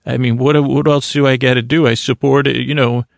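A man's voice: mid-range voice, level tone, fairly quick, creaky, no echo, studio quality, close to an everyday voice. A man voicing a neglected pillow that complains in a whiny way about its owner on a comic bedtime podcast. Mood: aggrieved.

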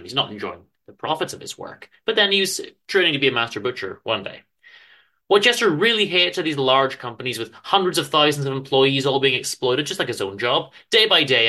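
A man giving a dramatic literary reading of a story, with theatrical, deliberate emphasis and pauses. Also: medium pitch, bright, forceful tone. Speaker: author reading own story aloud.

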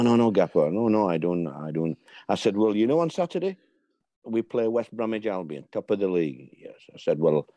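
A man's voice: lowish voice, no room sound. An older man, telling football stories in casual chat and acting out the dialogue. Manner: animated storyteller, acts out dialogue.

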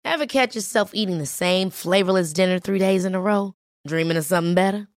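A woman's voice: medium pitch, brisk, soft background music. A woman reading a playful, sultry radio advert. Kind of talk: celebrity radio ad read.

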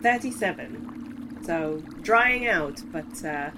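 Woman playing a ttrpg, casual table talk, slow, noisy recording. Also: lowish voice. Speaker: tabletop game player. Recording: clear steady background noise.

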